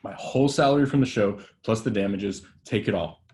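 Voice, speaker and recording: A man's voice: on the low side, fairly quick, a little mellow, lightly acted. A man reading a stammering, desperate young actor. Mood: begging. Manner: stammering, pleading, raw. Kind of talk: table read acting.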